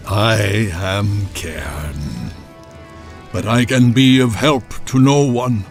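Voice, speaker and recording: Slow, weary old bull chieftain. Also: male voice, fairly low pitch, faint music behind. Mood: despondent. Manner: heavy, despondent. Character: weary, grief-stricken old tauren chieftain. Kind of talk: video game cinematic acting.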